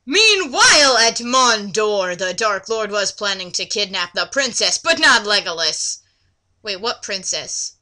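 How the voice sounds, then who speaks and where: A woman's voice: medium pitch, sing-song, unhurried, sharp tone. A woman reading a story aloud mockingly, with theatrical delivery and exasperated asides. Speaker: reader mocking an amateur story.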